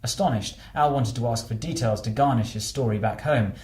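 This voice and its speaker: Man, audiobook narration, scripted novel reading, medium pitch, roomy recording. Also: brisk, a little mellow.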